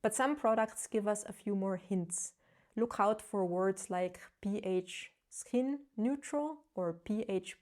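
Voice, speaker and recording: A woman with a German accent talks through her own skincare experiments as a travel vlogger. Her tone is earnest and explanatory, with a touch of self-deprecating humor. Her voice sits at an ordinary middle pitch for a woman. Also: darkish tone. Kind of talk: casual explanatory vlog.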